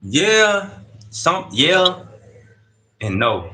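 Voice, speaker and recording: Man in his thirties, bright voice. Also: high-pitched, sing-song, very slow, a little hiss or hum. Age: 30s. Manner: street slang, keeps asking "you see what I'm saying". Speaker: incarcerated interviewee. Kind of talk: casual conversational storytelling. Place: prison dorm.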